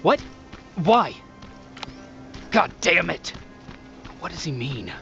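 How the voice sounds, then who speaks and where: A man's voice: on the high side, very animated, takes it slowly, vocal fry, full character voice. A man playing a dutiful, earnest soldier of a human resistance in a dramatic video game. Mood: urgent, frustrated. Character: weary, dutiful male resistance soldier, young adult. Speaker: game protagonist and narrator. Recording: dull game audio under loud music.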